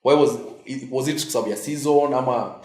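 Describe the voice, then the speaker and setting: A Kenyan man hosting an interview, asking quick questions and teasing his guest. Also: mid-range voice, darkish tone. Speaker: host. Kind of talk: casual interview. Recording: roomy echo.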